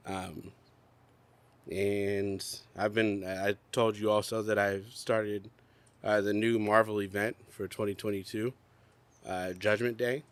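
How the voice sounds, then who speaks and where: A man's voice: fairly low pitch, flat delivery, slow pace, clear, resonant tone. A young adult man co-hosting a podcast outdoors, chatting casually with many fillers, still coughing and congested. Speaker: podcast co-host. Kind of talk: casual chat.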